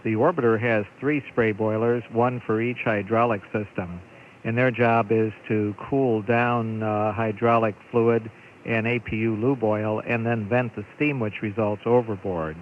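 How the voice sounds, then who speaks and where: Brief: American male launch commentator, low pitch, measured and formal, narrow tinny radio sound. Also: steady tone. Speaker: launch control public affairs commentator. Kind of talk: official live launch commentary. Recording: noisy, phone-like narrowband radio audio.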